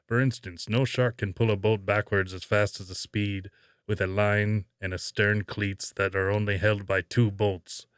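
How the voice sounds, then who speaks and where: Man acting gruff old male sea fisherman, blunt, emphatic, low-pitched, film-character style. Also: flat delivery, unhurried, a bit bright, dry recording, clean studio sound. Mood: scornful, blunt. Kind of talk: imitated movie-character voice reading interview answers.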